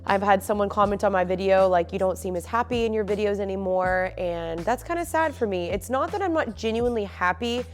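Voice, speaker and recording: A young woman talks to her viewers from her living room with a stuffy, sniffly nose. Her voice sits at a middle pitch for a woman, and she rambles along in an open, friendly way with plenty of fillers. Faint music plays softly behind her. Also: brisk, few pauses. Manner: chatty, open, lots of fillers. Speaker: faith and lifestyle vlogger. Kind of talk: casual chat to camera.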